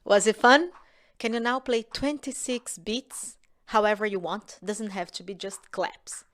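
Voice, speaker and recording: A woman from Brazil with a Brazilian Portuguese accent, teaching a music lesson to children in a gentle, encouraging way that often drops to a soft whisper. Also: medium pitch, a little slow, a little hiss or hum.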